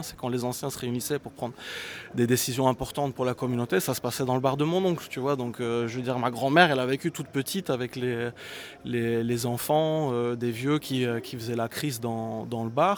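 Reflective man. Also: medium pitch. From Brussels, Belgium. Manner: reflective, with frequent hesitations. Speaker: musician guest. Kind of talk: interview answer.

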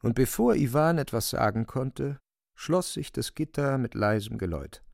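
Grave radio drama narrator, creaky voice, measured dramatic delivery, dry sound. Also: male voice, mid-range voice, lively intonation, darkish tone, dry recording. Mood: grave, suspenseful. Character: grave male storyteller narrating a novel.

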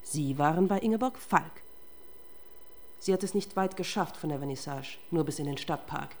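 A woman's voice: low voice, normal speed, darkish tone, a little hiss or hum. An actress playing a tough, relentless female police detective in a German radio crime drama, confrontational and sardonic. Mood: accusing.

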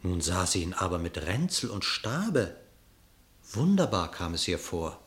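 A man narrating a classic verse fable in a radio play, measured and expressive. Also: low-pitched. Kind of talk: scripted storytelling narration in verse.

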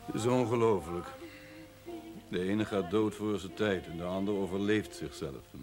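Actor as sardonic worldly sceptic, low voice, tv drama, music behind. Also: male voice, normal speed, lightly acted. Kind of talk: television period drama acting.

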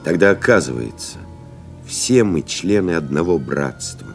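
Deep, unhurried, solemn pilot. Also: male voice, animated, faint music behind. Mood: pensive. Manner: reflective, solemn, measured, dramatic pauses. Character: pensive, solemn airmail pilot recalling lost comrades. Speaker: actor reading a literary memoir as narrator. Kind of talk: literary audio drama monologue.

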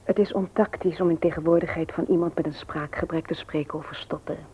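Husky, earnest, devoted wife. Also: female voice, low-pitched, warm tone, rough recording. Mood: earnest, wounded. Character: earnest childless married woman, gently persuasive and devoted. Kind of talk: Dutch radio play acting.

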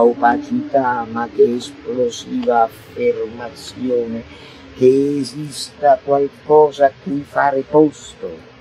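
A man delivers a stage monologue with slow, weighty phrasing. He lurches between sudden shouts and near whispers. A faint hiss sits under the radio recording. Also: a little high, poor audio. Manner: intense, swings from shouts to hushed murmurs. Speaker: actor reciting a monologue. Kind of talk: theatrical recitation. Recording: faint noise, radio broadcast.

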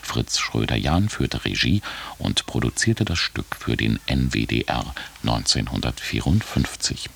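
A man's voice: very deep voice, animated, measured pace, creaky, a bit bright. A man reading scripted radio credits as an announcer. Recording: faint hiss.

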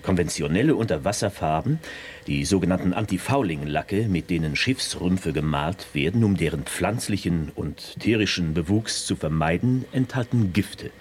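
A man's voice: low-pitched, lively intonation, normal speed, a little mellow, slight background noise. An actor playing a hesitant male chemist in his forties in a radio drama. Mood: explaining. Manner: hesitant, with fillers and nervous laughs.

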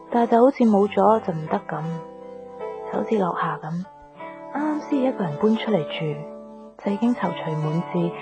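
An actress plays a young Hong Kong woman in a radio drama, torn between love and family. Her Cantonese delivery is soft, earnest and emotional. Her voice sits at a middle pitch over clear background music. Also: natural voice. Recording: music bed behind the voice.

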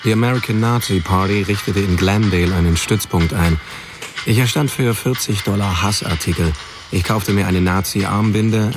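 A man's voice: deep voice, background noise, natural voice. A man playing a blunt, sardonic narrator recalling his misfit youth in a radio drama. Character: male narrator drily recalling his misfit, provocative teenage years. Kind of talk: scripted first-person radio drama narration.

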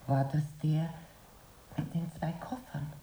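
Landlady, radio play, low mellow voice, plain-spoken, caring, homely, echoey room. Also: little pitch movement, slow. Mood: concerned, matter-of-fact. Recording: roomy echo, faint noise.

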